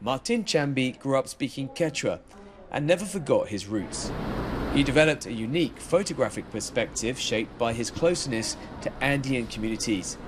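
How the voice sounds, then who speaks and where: A man narrating a scripted news report in a measured, even voice. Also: medium pitch, noisy background.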